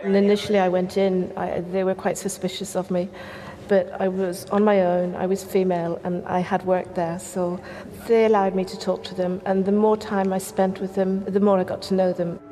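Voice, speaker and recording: A middle-aged woman, a photographer, calmly recalling her time with a community in an interview. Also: mid-range voice, normal speed, long reverb tail. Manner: calm, flat, reflective. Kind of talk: interview recollection.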